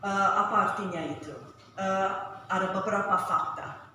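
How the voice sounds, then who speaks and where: A woman with a foreign, non-native accent giving a formal presentation as an organisation director, halting and careful, recorded at a distance in a noisy, echoing meeting room. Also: medium pitch, steady tone, sharp tone. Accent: foreign, non-native Indonesian. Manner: halting, careful, searching for words. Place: large echoey indoor meeting room. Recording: very echoey hall, distant mic, noisy.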